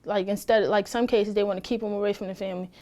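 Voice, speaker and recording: A woman's voice: mid-range voice, fairly even intonation, fast, darkish tone. A woman in her early twenties in a documentary interview, talking in a loose, rambling way with many fillers. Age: early 20s. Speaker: former foster youth interviewee.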